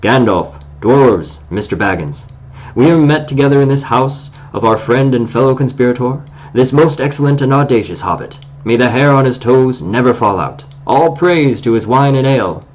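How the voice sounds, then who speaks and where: A man's voice: medium pitch, lively intonation, moderate pace, close to an everyday voice. A man voicing a grave, pompous male dwarf leader who speaks in long, solemn speeches. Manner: solemn, long-winded oratory.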